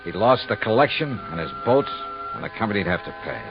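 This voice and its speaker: An actor playing a shrewd, hard-boiled insurance investigator in a 1950s radio drama, with a clipped, wry, insistent delivery. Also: male voice, lowish voice, sing-song, brisk. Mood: wry, brisk. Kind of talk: 1950s radio detective narration and acting. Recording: muffled vintage radio recording, music behind.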